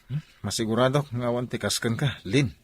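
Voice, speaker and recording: A man's voice: medium pitch, a little fast, natural voice. A man with a Filipino accent playing a mild-mannered shop boss in a radio drama. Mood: worried. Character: male print shop boss, mild son leaning on his worker.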